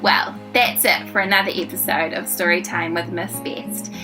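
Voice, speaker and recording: A woman with a New Zealand accent hosts a children's story show, reading a rhyming picture book aloud with animated emphasis and drawn-out key words. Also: lowish voice, over music.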